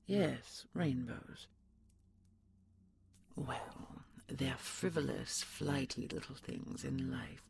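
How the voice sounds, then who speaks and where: An ancient dragon, played by a man, speaks with heavy creak in a slow, halting, intimate murmur. The voice is secretive yet tender, in fantasy audio drama style, with faint noise behind it. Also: mid-range voice, heavily acted. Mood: patient, guarded. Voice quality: gravelly, often whispery. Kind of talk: intimate audio roleplay acting.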